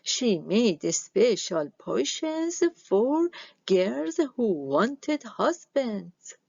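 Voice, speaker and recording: Slow, expressive woman reading a storybook. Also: on the high side, gravelly, breathy edge, no room sound, lo-fi sound. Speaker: storybook reader. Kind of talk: expressive read-aloud of a children's story.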